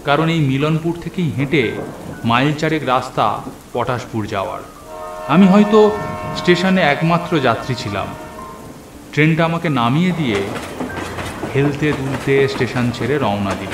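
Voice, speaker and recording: A man narrating a first-person cartoon story in an expressive, dramatised storytelling way. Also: medium pitch, normal speed, pauses often, over music. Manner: expressive storytelling with acted dialogue. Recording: echoey, with noisy train and storm ambience.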